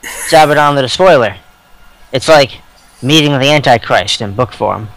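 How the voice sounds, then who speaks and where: A man co-hosting a casual fan discussion of sci-fi novels, speaking bluntly and haltingly with crude turns of phrase. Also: mid-range voice, fairly bright tone, low-quality recording. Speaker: podcast co-host.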